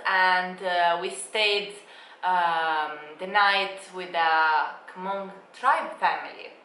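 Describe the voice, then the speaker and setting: A woman's voice: mid-range voice, bright, forceful tone, roomy sound, slight background noise, low-quality recording. A woman in her twenties, a native Italian speaker, chatting casually on a travel vlog and laughing often. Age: 20s. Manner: bubbly, laughs often, non-native phrasing. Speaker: travel vlogger. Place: holiday apartment in Bali.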